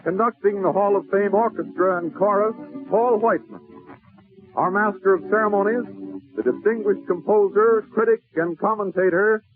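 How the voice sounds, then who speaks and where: Male 1940s radio announcer, high voice, formal and declamatory, music behind. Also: normal speed. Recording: tinny 1940s radio broadcast over music.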